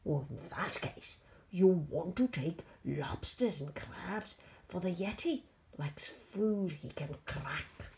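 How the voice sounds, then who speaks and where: A woman's voice: fairly low pitch, animated, slow pace, a little mellow, a little hiss or hum. A woman voicing a horrible, snappish female monster in a children's rhyming story, brusque and emphatic. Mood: advising.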